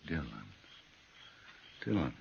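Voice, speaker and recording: An actor playing a gruff, prickly American stagecoach messenger in 1950s western radio drama style, with clipped talk. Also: male voice, slow, lightly acted. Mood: cooperative. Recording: dull, noisy 1950s radio recording.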